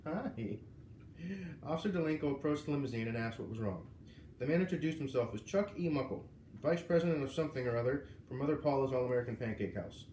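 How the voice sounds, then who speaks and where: A man's voice: medium pitch, expressive, fast talker, mellow. Man reading aloud in an echoey room. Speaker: read-aloud narrator. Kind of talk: reading a children's novel aloud. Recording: roomy, echoing, noisy home recording.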